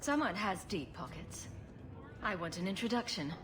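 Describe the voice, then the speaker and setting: A woman's voice: medium pitch, expressive, normal speed, music in the background, close to an everyday voice. An actress playing a bold, sarcastic female rogue adventurer in a video game, with a teasing, challenging manner. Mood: wry, probing. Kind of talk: video game cutscene acting. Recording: clear background ambient noise.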